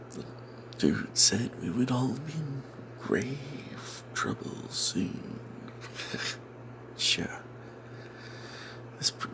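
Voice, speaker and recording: A man tells a fantasy adventure in a hushed, breathy whisper with a gravelly crackle in it. He takes his time, speaking slowly and secretively in a wry, rambling way, like an ASMR storyteller. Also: medium pitch. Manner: hushed and secretive, as if not to be overheard. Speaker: storyteller narrating a tabletop game tale.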